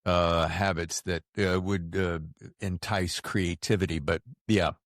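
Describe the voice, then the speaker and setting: A man's voice: low voice, little pitch movement, a little slow, no room sound, studio quality. A man hosting a podcast, chatting casually with a guest in a jokey, conversational way. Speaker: podcast host. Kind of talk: casual interview chat.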